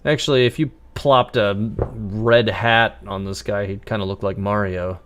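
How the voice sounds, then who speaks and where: Male streamer: lowish voice, offhand and wry, musing aloud over gameplay. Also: steady tone, measured pace, a bit bright, smooth. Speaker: game livestreamer. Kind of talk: casual live gameplay commentary.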